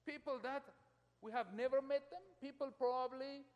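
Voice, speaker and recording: Preacher with high voice. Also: male voice, steady tone, moderate pace, dull audio. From Ethiopia. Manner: emphatic, surging and dropping loudness, addresses congregation directly. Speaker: pastor. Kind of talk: sermon. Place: church. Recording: long church reverb.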